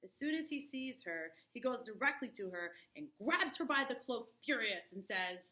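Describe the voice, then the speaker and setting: A woman's voice: on the high side, big pitch swings, moderate pace, pushed and bright. A woman teacher explaining informally in a classroom, often checking understanding with short tag questions. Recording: noticeable background noise.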